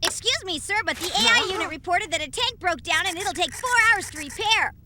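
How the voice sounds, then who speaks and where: A woman's voice: very high voice, lively intonation, fairly quick, heavy background noise. A woman voicing a cute, eager, childlike little robot tank in an English anime game dub. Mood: sheepish.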